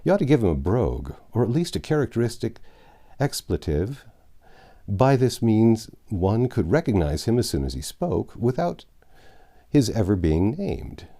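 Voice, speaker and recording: A man narrating a classic novel aloud, expressive with wry asides and occasional self-corrections. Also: on the low side, normal speed.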